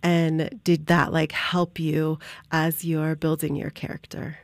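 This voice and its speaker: A woman's voice: deep voice, little pitch movement, slow pace, no echo. Chatty woman host, dry close sound. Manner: enthusiastic, chatty questioner. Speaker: podcast host. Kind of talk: casual interview.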